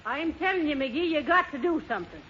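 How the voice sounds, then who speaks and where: A woman's voice: sits high, lively intonation, brisk. A woman playing a practical, scolding, folksy wife in a 1930s radio comedy. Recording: lo-fi 1930s radio with faint noise.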